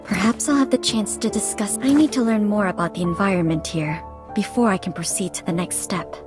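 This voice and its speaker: Woman acting a guarded, deliberate young woman over music. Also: medium pitch, close to an everyday voice. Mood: pensive, resolute. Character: reserved, watchful young woman secretly investigating a manor. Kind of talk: scripted video game dialogue and monologue.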